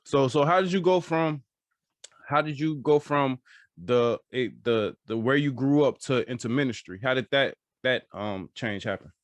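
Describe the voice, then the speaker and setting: A man's voice: mid-range voice, normal speed, no echo. Male interviewer, informal podcast host, dry close sound, loosely phrased questions that restart and repeat. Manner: hesitant, repeats phrases while forming questions. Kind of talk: casual interview.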